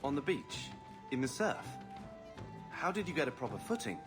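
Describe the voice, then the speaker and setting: A man's voice: mid-range voice, big pitch swings, normal speed, lightly acted. An actor playing an eager, bantering man who flirts clumsily in a video game. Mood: curious, amused. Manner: fumbling, bantering. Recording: clear background music.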